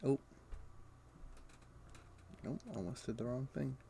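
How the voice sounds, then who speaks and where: Man, slow delivery, creaky voice, casual game streamer, halting explanations, muttered asides. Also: medium pitch, dark tone, a little hiss or hum. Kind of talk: casual live gameplay commentary, explaining to viewers.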